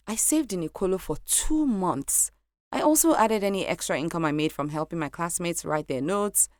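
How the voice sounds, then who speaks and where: A young woman with a Nigerian accent narrating a scripted personal story in a lively, animated way. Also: low-pitched, dry recording, clean studio sound. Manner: lively, animated delivery with light laughs. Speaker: podcast narrator.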